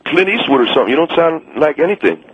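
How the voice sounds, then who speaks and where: A man's voice: on the high side, sing-song, quick pace, husky, narrow, tinny audio. A man putting on the voice of a brash, mocking male college student of about nineteen who keeps interrupting and taunting a caller. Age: young adult. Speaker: radio show guest.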